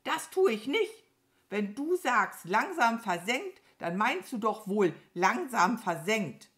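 A woman's voice: a little high, very animated, slow, fairly bright tone, voice pushed up, exaggerated voice. A woman voicing a grumpy, contrary, boastful crow in a children's storybook, with a snappy, grumbling manner. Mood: pedantic, cross.

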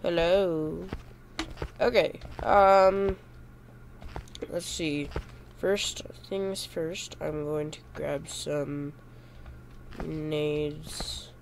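Slow, stuffy young man gaming. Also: a little high, level tone, gravelly, slight background noise. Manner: rambling, hesitant, goofy self-correcting. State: stuffy from a cold. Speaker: gaming YouTuber. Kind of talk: casual gameplay commentary.

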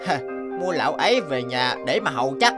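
A man's voice: mid-range voice, sing-song, brisk, music in the background, lightly acted. Scornful cartoon villager, male actor, derisive tone over musical backing. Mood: mocking. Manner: sneering. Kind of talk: cartoon voice acting.

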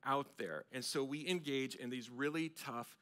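Bright-voiced American pastor preaching. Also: male voice, medium pitch, normal speed. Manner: animated, conversational, gesturing at a lectern, frequent restarts. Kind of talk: sermon. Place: large church stage with audience.

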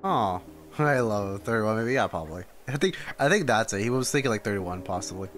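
A man's voice: lowish voice, lively intonation, brisk, a bit bright. A man streaming a game reaction in a casual, muttering, thinking-aloud way. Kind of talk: casual livestream reaction chat. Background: game music.

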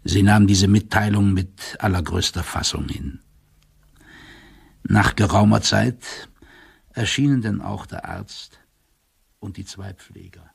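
Gravelly, formal male official. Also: fairly low pitch, exaggerated voice. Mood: uneasy, measured. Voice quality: gravelly, husky. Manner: formal, measured, drily ironic. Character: stout male official giving a stiff, wry written report. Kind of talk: dramatic reading of a report in an audio drama.

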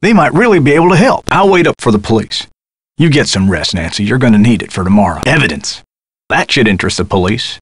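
A friendly, folksy man who works at a theater, from a video game. His voice is husky and very lively in pitch, recorded dry. He sounds eager to help. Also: fast, no echo. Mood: anxious, questioning. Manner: folksy, encouraging. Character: friendly, helpful, folksy male theater worker.

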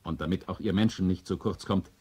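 Low, husky robot servant. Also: male voice, a little fast, darkish tone. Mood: eager, reassuring. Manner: deferential, insistent. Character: devoted, eager male robot servant, unwittingly ominous. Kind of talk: 1970 German radio play acting.

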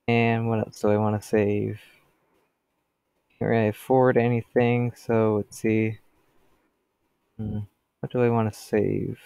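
A man's voice: on the low side, vocal fry, a little mellow, clear, resonant tone, no room sound, clean studio sound. A teenage boy with a stuffy cold voice, rambling casually as he comments on his gameplay. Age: teens. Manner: chatty, rambling, talks to customers.

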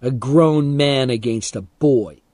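A man's voice: medium pitch, slow pace, a little mellow, dry recording, studio quality, lightly acted. A man voicing a bold young boy in a story, urgent and argumentative as he protects his friend. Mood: challenging.

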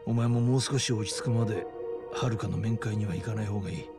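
A male actor playing a seasoned older detective in a video game, gruff, informal and pragmatic, with a gravelly voice. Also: fairly low pitch, fairly quick, music in the background. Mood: serious. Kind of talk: dramatic video game acting.

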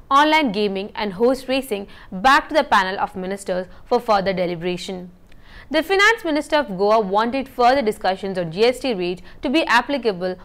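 Young woman reading news. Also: mid-range voice. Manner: steady, measured newsreader delivery reading from a script. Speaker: news presenter. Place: indoor TV news studio set.

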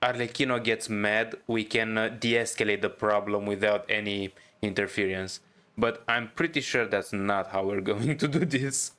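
A man's voice: on the low side, steady tone, bright, forceful tone. Casual, wry young male gamer. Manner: halting, repeats himself, wry. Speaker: gaming streamer. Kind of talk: casual gameplay commentary. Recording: game music behind the voice.

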